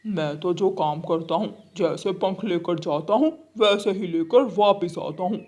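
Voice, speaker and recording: Casting: man to play uppity cartoon crow, high male voice, fussy, boastful, forever finding fault. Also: a little slow, darkish tone. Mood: disdainful. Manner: boastful, disdainful, complaining. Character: snobbish male city crow, fussy about dirt. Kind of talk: Hindi children's cartoon story acting.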